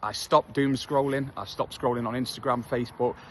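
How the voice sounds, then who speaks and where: A man's voice: mid-range voice, steady tone, fairly quick, fairly bright tone, a little hiss or hum. A man in his thirties from England with an English accent, chatting candidly outdoors while out for a run, self-deprecating and full of fillers. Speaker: vlogger. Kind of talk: casual talk to camera while jogging. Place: outdoors on a street.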